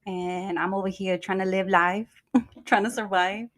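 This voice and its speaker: Woman, 30s, co-host, relaxed, wry casual chat. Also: mid-range voice, a little slow. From Mexico. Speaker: podcast co-host.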